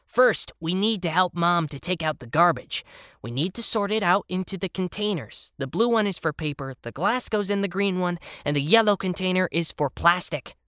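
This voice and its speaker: Narrator as a pompous high-voiced toy hedgehog. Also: expressive, moderate pace, pushed and bright, no echo, clean studio sound. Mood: important, instructive. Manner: pompous, precise. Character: self-important, dutiful toy hedgehog who loves rules.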